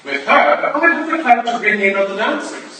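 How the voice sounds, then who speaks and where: A man's voice: sits high, lively intonation, moderate pace. A man playing a boastful, drunken upper-class English rake in a staged period drama, with a breezy, confiding swagger. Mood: self-important. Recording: echoey room from far off, noisy.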